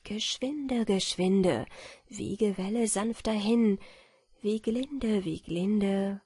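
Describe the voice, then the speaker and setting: A woman's voice: medium pitch, very slow, warm tone, breathy edge, no echo, studio quality. Woman voicing delicate whispering butterfly sprites. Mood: dreamy, adoring. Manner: lilting rhyming chant, whispery. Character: chorus of tiny butterfly sprites, delicate, adoring their queen. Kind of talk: storybook verse reading.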